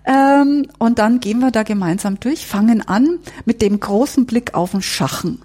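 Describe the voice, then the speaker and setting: A woman's voice: a little high, moderate pace, dark tone. A woman, speaking as a curator in a conversational interview and explaining with rhetorical questions. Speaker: exhibition curator and historian. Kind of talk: conversational interview, guiding through an exhibition.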